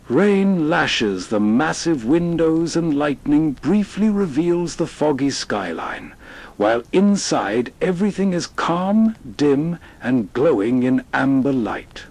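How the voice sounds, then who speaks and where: Man, host, scripted narration, slow, smooth, animated pitch. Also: low-quality recording.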